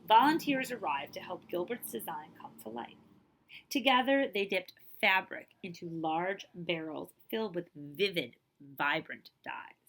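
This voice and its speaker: A woman librarian reading a picture book aloud to children, with expressive, emphatic phrasing and casual asides. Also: on the low side, a little slow, low-quality recording.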